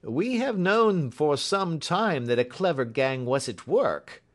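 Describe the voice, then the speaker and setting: A man's voice: fairly high pitch, expressive, takes it slowly, pitched above the performer's own voice. A man voicing a hearty, eager police inspector in an audiobook, confident, jovial and emphatic. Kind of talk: audiobook character acting.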